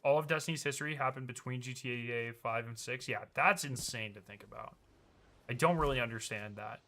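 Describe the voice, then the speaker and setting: Bright-voiced profane man streaming. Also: mid-range voice, a little fast. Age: late 20s. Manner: rambling, profane, reads and answers chat. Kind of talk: casual live-stream chat.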